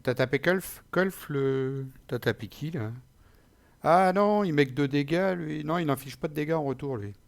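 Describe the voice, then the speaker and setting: A man's voice: fairly high pitch, normal speed, vocal fry. A man hosting his own channel, chatting casually after a card game match, often stumbling over words. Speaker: player and channel host. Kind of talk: casual post-match chat.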